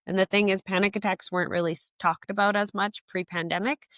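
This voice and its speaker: A woman's voice: mid-range voice, fairly even intonation, fast, fairly bright tone, no echo, studio quality. A young woman founder answering interview questions thoughtfully, often saying "like" and acting out her own past thoughts. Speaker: app founder, guest.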